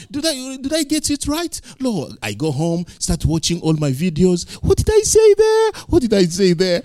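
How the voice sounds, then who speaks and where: Emphatic preacher man, lively pitch. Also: dry recording, studio quality. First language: not English. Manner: emphatic, rising to loud peaks, repeats lines for effect. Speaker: pastor. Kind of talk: sermon.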